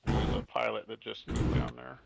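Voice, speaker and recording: A man's voice: mid-range voice, flat delivery, normal speed, vocal fry. A man in his forties, the streamer, chatting casually and rambling through anecdotes with friends in voice chat. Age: 40s. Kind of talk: casual voice chat while gaming. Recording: noisy stream audio.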